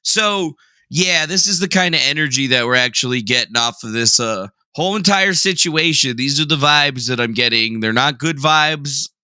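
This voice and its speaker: A man's voice: mid-range voice, normal speed, pushed and bright, clean tone, dry recording. A young man casually hosting a livestream, joking sarcastically and reading viewer messages aloud. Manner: jokey, sarcastic, self-mocking; reads chat aloud and reacts. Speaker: livestream host.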